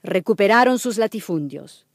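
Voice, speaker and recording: A sober history narrator in a radio play, voiced by a man. The delivery is scripted and measured, recorded dry with very little room. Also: sits high, animated, no echo.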